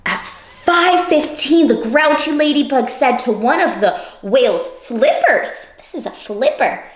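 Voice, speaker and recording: A woman reading a storybook aloud to children, expressive and playful, with dramatic emphasis and asides to the listeners. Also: high voice, measured pace, reverberant. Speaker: children's read-aloud host.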